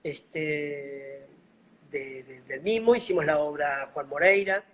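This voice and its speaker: Gentle, unhurried Argentine man. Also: on the high side, poor audio. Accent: Argentine Spanish, slightly Bolivian-tinged. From Buenos Aires, Argentina. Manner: unhurried, gentle, fond of diminutives. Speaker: guest, mime and clown actor. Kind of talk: casual interview storytelling. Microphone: video call.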